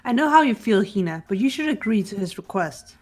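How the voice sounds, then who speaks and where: A woman voicing a calm, serious, level-headed young investigator in a fan-voiced visual novel game. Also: medium pitch, fairly quick, soft background music, natural voice.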